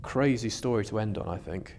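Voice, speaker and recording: A man's voice: lowish voice, a little fast, a little mellow. A man co-hosting a podcast, musing aloud in a casual discussion about religion, recorded with long reverb. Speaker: podcast co-host.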